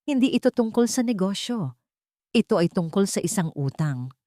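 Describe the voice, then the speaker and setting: A woman voicing a composed, wealthy man who speaks calmly and clearly to reporters. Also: medium pitch, normal speed, no echo, clean studio sound, pitched above the performer's own voice, lightly acted. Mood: solemn. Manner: calm and clear public statement.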